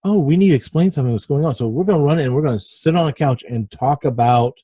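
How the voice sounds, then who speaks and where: A man hosting an informal writing lesson, halting and apologetic as he talks and reads aloud, often stumbling over his words. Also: medium pitch, dark tone, husky, dry recording. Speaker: host of a writing podcast. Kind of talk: informal lesson with passages read aloud from a book.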